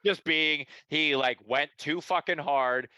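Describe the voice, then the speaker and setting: A man's voice: on the high side, takes it slowly, sharp tone, clear, resonant tone, no echo. A man co-hosting a podcast, sarcastic and profane in casual chat. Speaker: podcast co-host.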